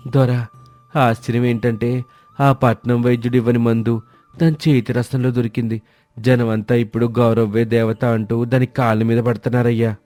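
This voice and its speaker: Storybook rustic henchman, male actor, agitated and accusing, mellow-dark timbre, soft music behind. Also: medium pitch, flat delivery, normal speed, no echo, lightly acted. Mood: servile. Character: servile, accusing henchman of the village landlord.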